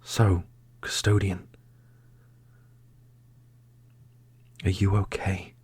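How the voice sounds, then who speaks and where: A man playing a solemn, loyal prince and protective brother in a fantasy audio roleplay, speaking earnestly and deliberately. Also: sits low, flat delivery, darkish tone, breathy edge, heavily acted. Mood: solemn, tender. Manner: earnest, deliberate, grandly heroic. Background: orchestral music.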